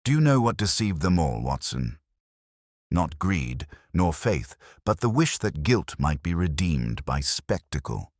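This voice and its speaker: A man voicing a cerebral, grave detective in a mystery audiobook, speaking in deliberate, solemn aphorisms. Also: low voice, very animated, no room sound, clean studio sound, full character voice. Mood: philosophical. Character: cerebral, grave male detective, quietly philosophical.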